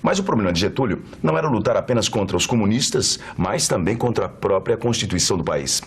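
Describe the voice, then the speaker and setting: A man's voice: on the low side, animated, fast, slightly rough. A Brazilian man presenting scripted educational narration in an explanatory way. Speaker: documentary presenter.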